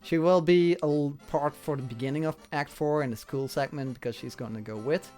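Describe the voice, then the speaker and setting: A man's voice: a little high, a little fast, darkish tone, faint music behind. A man chatting casually as an interviewer, explaining things in a rambling way and often repeating himself. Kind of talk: casual chat.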